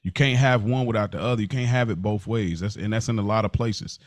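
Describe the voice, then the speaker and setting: A man co-hosting a podcast in casual chat, thinking his answers through out loud. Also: fairly low pitch, quick pace, dry recording. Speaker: podcast co-host.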